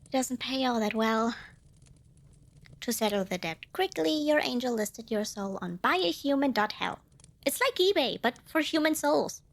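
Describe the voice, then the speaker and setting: A woman performs a little female demon in an intimate roleplay for the listener. Her voice sits high and leaps around in pitch. She is proud and indignant, boasting grandly, then hedging and correcting herself like a flustered small saleswoman. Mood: salesmanlike, hedging. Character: tiny cute female demon, proud, flustered, bargain-loving. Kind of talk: ASMR roleplay addressed to the listener.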